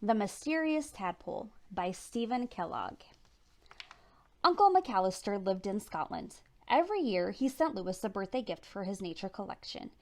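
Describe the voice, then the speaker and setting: A woman narrates a children's picture book at storytime. Her mid-pitched voice moves up and down a lot, with animated emphasis on the dramatic turns. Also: moderate pace. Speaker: storytime reader.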